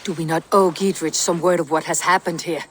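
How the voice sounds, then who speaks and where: A woman's voice: fairly low pitch, fairly even intonation, fairly quick, a little hiss or hum, natural voice. An actress playing a level-headed, sceptical Viking warrior woman in a video game, questioning and blunt. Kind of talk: video game cutscene acting. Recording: long hall reverb, music behind.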